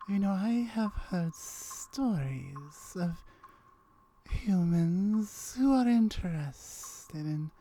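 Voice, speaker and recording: Woman voicing a slow, murmuring, wary gorgon woman. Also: lowish voice, dark tone, slight background noise, exaggerated voice. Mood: incredulous, curious. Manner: slow, drawn-out syllables, breathy murmuring. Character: aloof, wary, lonely female gorgon, slyly flirtatious. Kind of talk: ASMR roleplay acting.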